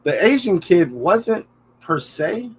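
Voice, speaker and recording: A man's voice: high-pitched, very animated, slow pace, breathy edge. A man co-hosting a podcast, chatting casually with blunt, slangy reactions. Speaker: podcast co-host. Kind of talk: casual chat.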